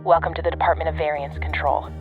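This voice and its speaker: Gruff woman agent over tinny radio. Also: sits low, flat delivery, quick pace. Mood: gruff, resolute. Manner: blunt, terse, swearing. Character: gruff, sardonic female secret agent, cornered and unravelling. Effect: radio transmission filter. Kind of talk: audio drama acting. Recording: tinny narrow-band radio with music.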